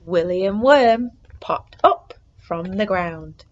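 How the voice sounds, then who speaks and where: Slow-paced woman reading to young children. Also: medium pitch, lo-fi sound. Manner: expressive, with hushed suspenseful whispers and excited exclamations. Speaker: storyteller reading a picture book to children. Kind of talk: animated read-aloud for young children.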